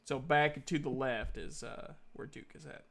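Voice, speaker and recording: A man improvising narration as game master of an online tabletop game, with halting, hesitant phrasing. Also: medium pitch, gravelly, a bit bright.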